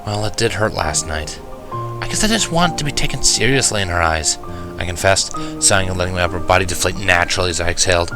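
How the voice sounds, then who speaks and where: A man's voice: low voice, quick pace, over music. A man reading scripted first-person fan fiction as the narrator.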